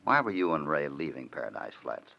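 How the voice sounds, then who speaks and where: An actor playing a calm, authoritative cowboy hero in a 1940s radio western. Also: male voice, rumbling low, brisk, vocal fry, heavily acted. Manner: steady, authoritative. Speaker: lead hero. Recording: dull old radio recording.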